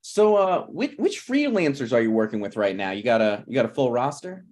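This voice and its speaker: A man's voice: mid-range voice, very animated, brisk, a little mellow. A man in his thirties at a script table read, playing a needy, prickly struggling screenwriter who talks in a pressing, conversational way. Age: 30s.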